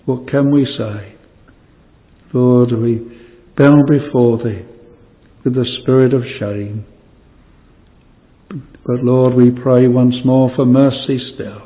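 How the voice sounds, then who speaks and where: A man prays slowly and solemnly in old-fashioned language, his voice level and flat, phrases fading to a hush. The sound is narrow and phone-like, with a long hall reverb behind him. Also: medium pitch. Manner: solemn, archaic "thou" phrasing, pleading; voice falls away quietly at phrase ends. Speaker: minister leading prayer. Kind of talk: extempore congregational prayer.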